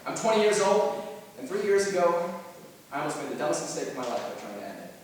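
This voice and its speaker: A young man performing a dramatic monologue as an anxious twenty-year-old looking back on depression, halting and earnest, with theatrical swings in volume. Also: on the high side, very animated. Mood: nervous, earnest. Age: young adult. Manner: halting, earnest, swinging from quiet to loud outbursts. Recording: very echoey hall from far off, noisy.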